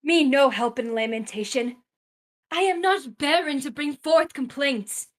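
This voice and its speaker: A woman's voice: sits high, a little slow. A woman playing a proud, anguished widowed queen in a Shakespearean radio play, lamenting in declamatory verse.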